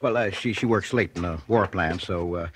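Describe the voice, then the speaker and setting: A man's voice: deep voice, moderate pace, background noise, natural voice. An actor playing a meek, nervous man, hesitant and easily flustered, in a 1940s radio drama. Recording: 1940s radio broadcast with music.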